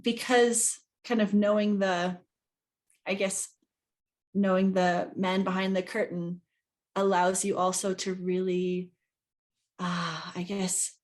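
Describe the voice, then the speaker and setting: A woman in her thirties, from New Zealand, talks about her writing as an interview guest. Her delivery is animated, rising and speeding up in quick bursts. She sounds like she is on a video call. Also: mid-range voice. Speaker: guest author. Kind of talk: conversational interview.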